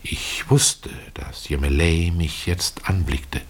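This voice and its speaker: A man narrating a literary radio drama in the first person, expressive and deliberate, with telling pauses. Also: extremely low pitch, slightly rough, whispered.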